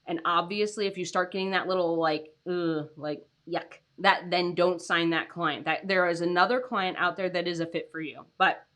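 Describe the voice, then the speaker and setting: Tired, raspy-voiced woman host. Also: lowish voice, fairly even intonation, moderate pace, few pauses, a bit bright. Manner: chatty, lots of "like" and "um", laughs often. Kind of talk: casual livestream chat.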